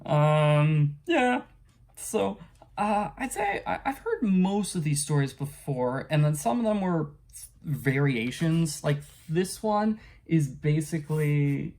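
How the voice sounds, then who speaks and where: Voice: mid-range voice, big pitch swings, takes it slowly, a little mellow. Hesitant, rambling casual book reviewer. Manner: rambling and hesitant, often trailing off and chuckling.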